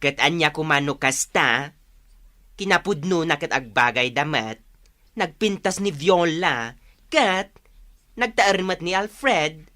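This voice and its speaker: A man acting a chatty, confiding village tattler in an animated Ilocano comedy radio drama. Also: a little high, slow pace, full character voice.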